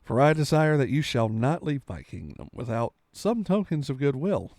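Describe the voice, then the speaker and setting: A man's voice: medium pitch, sing-song, moderate pace, a little mellow, no echo, clean studio sound. A man voicing a gracious, generous king in a storybook, with stately, archaic speech.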